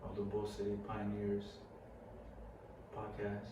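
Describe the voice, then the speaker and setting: A man's voice: fairly low pitch, slow, dull audio. A young man co-hosting a podcast, laid-back and teasing, laughing along with his friend. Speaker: podcast co-host. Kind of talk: casual podcast chat. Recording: echoey room, mic far off, steady noise.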